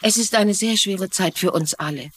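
Eine Schauspielerin spielt in einem synchronisierten TV-Drama eine höfliche, trauernde Witwe mittleren Alters mit gedämpfter Art. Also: female voice, mid-range voice, normal speed, a bit bright, a little hiss or hum, natural voice. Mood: courteous, sorrowful. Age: middle-aged. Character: polite, grieving middle-aged widow and mother. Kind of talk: dubbed TV drama dialogue in German.

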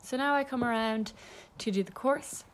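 A woman's voice: a little high, moderate pace, slight background noise. A young woman talking casually through a ride as a voice-over, with lots of ums and small laughs. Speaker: equestrian vlogger.

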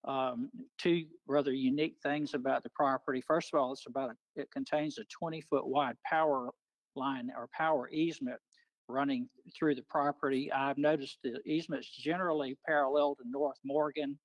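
A man of medium pitch gives a semi-formal briefing as a planning official. He is on a video call, and the sound is dry with little room echo. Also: fairly even intonation, moderate pace. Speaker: county planning official presenting. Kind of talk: semi-formal explanatory presentation.

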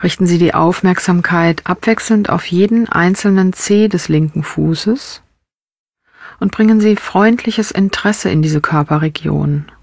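A woman's voice: on the low side, a little slow, creaky, a little mellow, dry recording. Woman guiding a meditation, dry close sound. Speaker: mindfulness meditation teacher. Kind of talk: guided body scan meditation narration.

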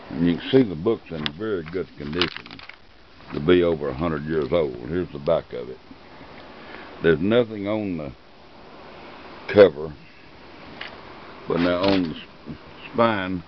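A man casually narrating off camera while showing an old book indoors, relaxed and plainspoken. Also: deep voice, a little hiss or hum. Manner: relaxed, plainspoken, offhand remarks. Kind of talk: casual off-camera show-and-tell. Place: indoors at a desk. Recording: dull lo-fi camera audio.

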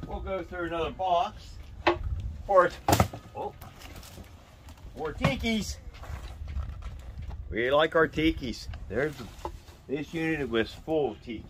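A man's voice: on the high side, lively intonation, slow, long pauses, background noise. A middle-aged man with a Western Pennsylvania accent, chatting folksily to viewers as he sorts through a storage unit. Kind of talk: casual unboxing commentary. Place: cluttered storage unit, indoors.

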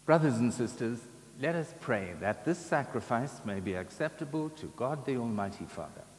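A man in his seventies, a priest saying Mass prayers in a measured, solemn way. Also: medium pitch, lively intonation. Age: 70s. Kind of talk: liturgical prayer. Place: large brick chapel, at altar and lectern. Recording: echoey chapel with long reverb, background noise.

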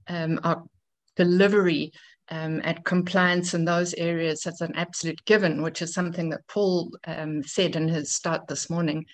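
A slightly low female voice coming through a video call. She lays out her points earnestly and at a measured pace, pausing often on "um" as she goes. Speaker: book contributor on a panel. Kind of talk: presenting her chapter.

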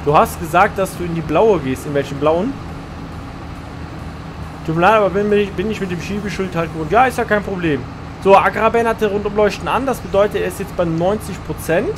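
A man talks his way through a live farming-game stream, greeting viewers and answering their chat as he plays. His voice sits high for a man. A steady noise runs under the recording. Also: fairly quick. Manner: chatty, answers chat messages while playing.

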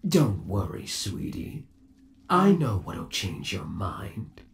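A man's voice: lowish voice, big pitch swings, slow, gravelly, slight background noise, heavily acted. A man playing an undead, obsessive boyfriend trapped in a mechanical suit, sweet-talking and possessive in a theatrical ASMR roleplay. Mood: pleading, possessive. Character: undead yandere boyfriend trapped in springlock suit, menacingly doting. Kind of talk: ASMR roleplay, scripted monologue to the listener.

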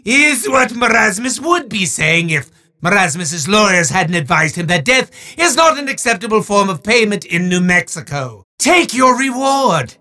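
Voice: medium pitch, big pitch swings, moderate pace, few pauses, bright, forceful tone. An actor voicing a pompous male demon who hands out prizes, with a theatrical, bellowing delivery and who talks about himself in the third person. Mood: grandly menacing. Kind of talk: game character voice acting.

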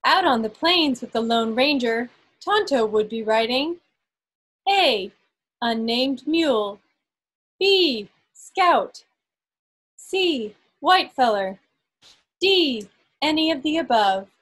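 Slow, high-voiced woman reading quiz questions. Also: animated, a bit bright. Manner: deliberate, pausing between phrases. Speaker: quizmaster. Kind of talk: reading quiz questions aloud to an online group. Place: indoors on a group video call. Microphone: video call microphone.

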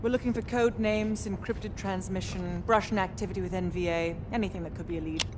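A woman playing a measured, analytical intelligence agent in a video game, with a British accent and formal, precise speech. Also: mid-range voice, steady tone, lightly acted. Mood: composed. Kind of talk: video game cutscene acting. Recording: clear background noise, room ambience.

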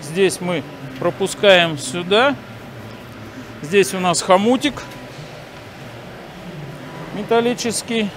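A man in his forties giving a halting, step-by-step hands-on demonstration while he works. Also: high voice. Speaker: instructor demonstrating sawmill assembly. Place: large factory workshop hall, indoors. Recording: noisy industrial workshop ambience. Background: clear workshop noise.

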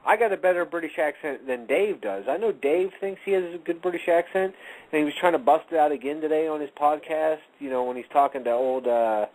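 A man's voice: a little high, a little fast, low-quality recording. A man co-hosting a casual radio chat show, rambling and joking as he tells stories. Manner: rambling, jokey, animated storyteller. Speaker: co-host.

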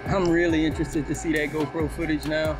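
A man's voice: fairly high pitch, flat delivery, fairly quick, over loud music. A man from the United States, giving casual vlog commentary as a hobbyist. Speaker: hobby RC car vlogger.

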